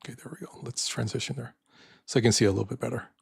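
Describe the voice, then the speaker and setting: An older man with a raspy voice hosting a live stream in casual chat, soft-spoken and halting with many fillers. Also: medium pitch, steady tone, dry recording, clean studio sound.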